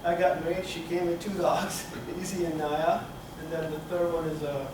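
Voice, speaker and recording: A middle-aged man from Turkey giving a technical conference talk, halting with many fillers and restarts, recorded from the back of a conference room. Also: a little high, little pitch movement. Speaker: conference speaker. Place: conference room with projector screen. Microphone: room camera from the back of the hall. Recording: echoey hall, speaker far from mic, room noise.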